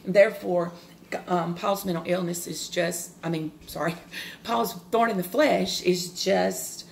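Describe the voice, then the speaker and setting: A woman in her forties with a loud, carrying voice, giving a personal devotional talk, earnest and open, with pauses and restarts. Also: on the low side, steady tone, gravelly, slight background noise. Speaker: devotional speaker.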